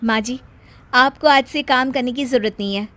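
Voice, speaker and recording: A woman voicing a young, overworked working daughter-in-law in a Hindi animated moral story, expressive and earnest in manner. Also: high-pitched, normal speed. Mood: caring, apologetic.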